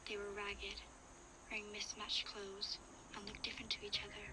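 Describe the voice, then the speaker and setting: A woman plays a young girl survivor telling her story in a game audio log. Her delivery is even and restrained, confessional and earnest, under loud background ambience. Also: mid-range voice, normal speed, heavy background noise, natural voice. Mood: uneasy, reflective. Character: young girl survivor recounting danger, frightened but reflective. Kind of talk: first-person dramatic audio log narration.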